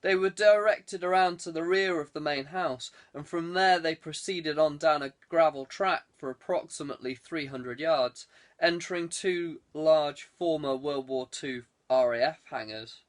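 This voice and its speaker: A man narrates a thriller audiobook. His voice sits somewhat high for a male reader, and he delivers scripted prose as a storyteller. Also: measured pace.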